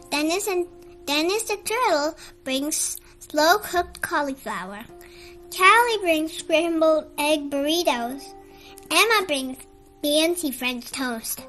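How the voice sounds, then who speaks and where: An expressive storyteller reading a picture book aloud for small children, with animated, dramatic delivery. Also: high-pitched, rough recording.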